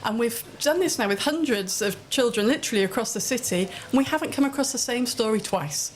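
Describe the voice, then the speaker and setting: A woman's voice: fairly high pitch, a little fast, a little hiss or hum. A middle-aged woman giving articulate, anecdotal answers as a guest in a live interview. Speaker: interview guest, children's storytelling project leader.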